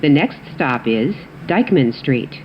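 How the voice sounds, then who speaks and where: A woman reading scripted automated subway announcements as the train announcer, in measured, clearly segmented phrases. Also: animated. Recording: muffled, noisy recording inside subway train. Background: train rumble and ambience.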